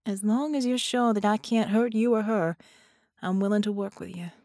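A woman's voice: medium pitch, fairly even intonation, moderate pace, a little mellow, no room sound, natural voice. A woman voicing a weary, sardonic woman with frayed patience in an audiobook, blunt and dry in manner. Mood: weary, grudging.

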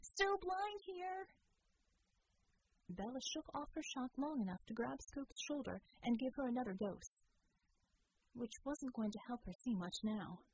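A woman narrating a scripted fiction audiobook in a measured, deliberate storytelling manner. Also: fairly high pitch, darkish tone, slightly rough, no room sound, rough recording.

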